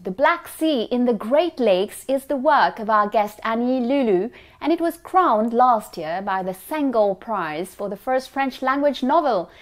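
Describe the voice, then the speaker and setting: A woman presenter reading a scripted broadcast introduction in a smooth, even delivery. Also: a little high, a little slow, few pauses, faint music behind.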